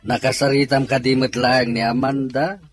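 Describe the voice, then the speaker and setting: An actor playing a questioning, concerned husband in a family audio drama. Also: male voice, medium pitch, level tone, normal speed, faint music behind, close to an everyday voice. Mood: inquiring. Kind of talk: Ilocano audio drama acting.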